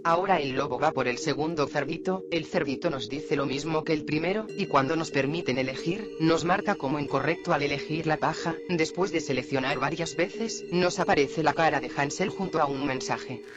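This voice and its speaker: Higher-pitched man recapping a story. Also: brisk, hardly any pauses, music in the background. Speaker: video narrator.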